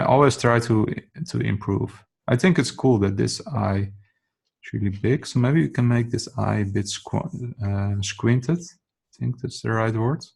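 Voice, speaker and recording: A man's voice: lowish voice, creaky. A man with a Dutch accent, a non-native English speaker, thinking aloud while he sketches in a casual drawing tutorial. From Netherlands.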